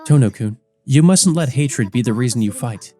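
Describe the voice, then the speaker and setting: A man's voice: medium pitch, lively intonation, normal speed, warm tone, soft background music, natural voice. A man voicing a composed, stern but caring older schoolgirl who explains and cautions precisely in a visual novel. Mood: concerned. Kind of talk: visual novel character reading.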